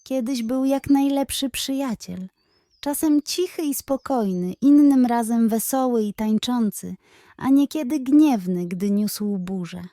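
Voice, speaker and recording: A woman voicing a gentle, nostalgic old grandmother in a children's bedtime story. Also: on the high side, a little slow, no echo, clean studio sound, natural voice. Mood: wistful, tender.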